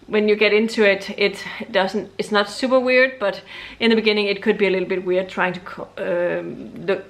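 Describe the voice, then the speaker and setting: A woman's voice: medium pitch, steady tone. A young Danish woman, speaking English as a second language, chatting casually to camera about books with many ums and restarts. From Denmark. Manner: hesitant, many ums and restarts, deliberately slow. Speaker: book vlogger.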